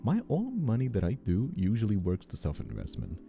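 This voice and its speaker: Male streamer, very mellow low voice with lively intonation, halting casual chat, music behind. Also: gravelly. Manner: hesitant, halting, often repeats words. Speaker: streamer, host.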